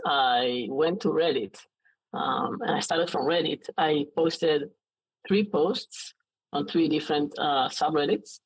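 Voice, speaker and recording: A man in his late twenties with an Israeli accent, talking casually as an interview guest in a halting way with frequent false starts. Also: fairly high pitch, slightly rough, no room sound, low-quality recording.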